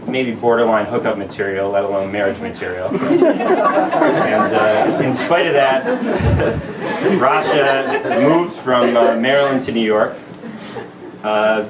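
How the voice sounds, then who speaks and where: A man in his thirties giving a casual speech to his new wife at a party, halting with many fillers and laughing asides. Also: mid-range voice, a loud crowd. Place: party venue with crowd. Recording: echoey room, mic at a distance, background noise.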